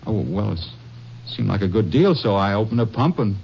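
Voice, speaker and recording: Stammering anxious soldier; animated pitch, dull old radio sound. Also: male voice, normal speed, vocal fry. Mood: nervous. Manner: stammering, nervous, defensive. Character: nervous young male soldier, evasive and anxious. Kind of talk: 1950s radio drama acting. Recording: muffled old radio broadcast with faint hiss.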